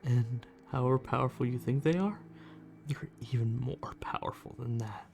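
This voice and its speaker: A man playing a smug, condescending vampire who teases his prey with quiet menace in an ASMR roleplay. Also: mid-range voice, creaky, music in the background, lightly acted. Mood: sly, skeptical. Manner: condescending, slow, teasing, menacing. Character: smug, teasing male vampire stalker toying with his hunter. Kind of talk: ASMR roleplay, acted monologue.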